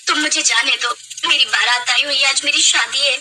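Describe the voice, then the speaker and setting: Woman acting a high-voiced kidnapped young bride. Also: fairly even intonation, moderate pace, noisy background, natural voice. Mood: defiant pleading. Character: kidnapped young bride, defiant, pleading, compassionate doctor. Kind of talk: dramatic story acting.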